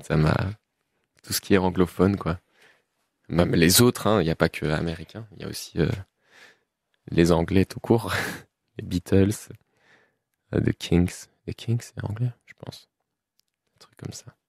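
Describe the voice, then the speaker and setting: Deep gravelly young man chatting. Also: a little slow, long pauses, no room sound, studio quality. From Belgium. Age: 20s. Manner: relaxed, laughs often, many fillers. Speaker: musician guest. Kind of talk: casual interview chat.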